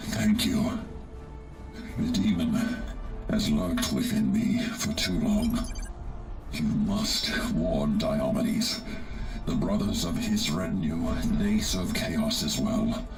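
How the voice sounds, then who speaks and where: An actor playing a sardonic, weary space marine traitor in a video game, with a pompous manner. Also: male voice, a bass voice, slow pace. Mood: weary confession. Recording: music under the voice.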